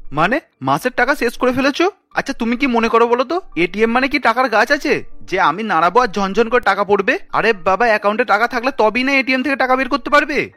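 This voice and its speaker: A man's voice: extremely high pitch, expressive, fast, pushed and bright, soft background music, exaggerated voice. Man acting an irritable, grumbling cartoon husband. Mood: annoyed, sarcastic. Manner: grumbling, sarcastic. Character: irritable middle-class husband and father, office-going.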